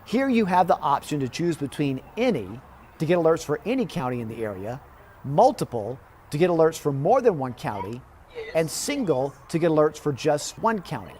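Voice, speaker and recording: Higher-voiced man giving step-by-step instructions over noise. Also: very animated, moderate pace, darkish tone. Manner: clear, orderly step-by-step delivery. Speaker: TV weather presenter giving a how-to demonstration. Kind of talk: scripted instructional narration.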